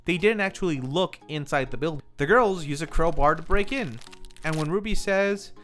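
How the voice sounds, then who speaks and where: A man's voice: fairly high pitch, moderate pace, a bit bright. A man narrating a scripted film recap as a review host, with casual, lightly wry asides.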